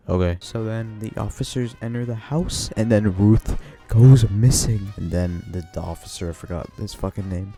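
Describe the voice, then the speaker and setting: Male video reviewer, lowish voice, casual and rambling with some swearing, faint music underneath. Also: warm tone. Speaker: YouTube reviewer of a horror series.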